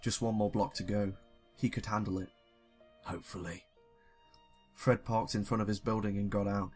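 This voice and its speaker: A man's voice: on the low side, flat delivery, normal speed, faint music behind. A young man reading a story casually, with sweary, self-deprecating joking asides. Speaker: YouTuber reading fan fiction.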